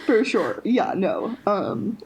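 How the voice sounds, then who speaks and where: A young woman co-hosting a podcast in casual chat, halting, with frequent restarts and quick laughs between phrases. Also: mid-range voice, very animated, a little mellow, a little hiss or hum. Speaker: podcast co-host.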